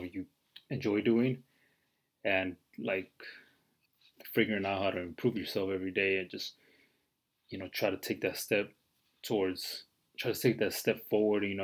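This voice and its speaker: Low, flat-voiced man in his twenties. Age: 20s. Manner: rambling, heavy fillers, casual swearing. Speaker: podcast host. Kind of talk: casual solo podcast monologue.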